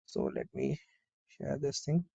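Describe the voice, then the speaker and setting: A man giving an informal step-by-step software tutorial, often asking and answering his own questions as he explains. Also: medium pitch, steady tone, unhurried, vocal fry, breathy edge, no echo, lo-fi sound. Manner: repetitive explaining with rhetorical questions.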